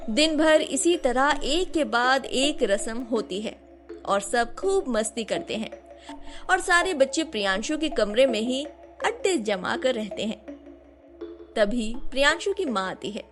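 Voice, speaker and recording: A woman with a fairly high voice narrates a scripted domestic story in a storytelling role. Music plays clearly behind her. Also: lively intonation, unhurried, fairly bright tone.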